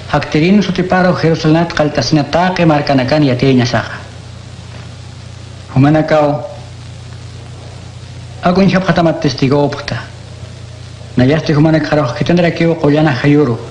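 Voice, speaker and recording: Hombre narrador solemne con eco. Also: male voice, a little high, normal speed, long pauses, darkish tone, reverberant, a little hiss or hum, poor audio.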